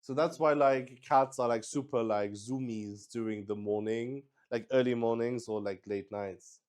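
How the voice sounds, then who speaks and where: Male game player, mid-pitched and slow-paced, chatting casually, exclamatory and sweary. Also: fairly even intonation, darkish tone. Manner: sweary, exclamatory, thinking aloud. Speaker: solo roleplay player and host. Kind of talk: casual improvised game chat.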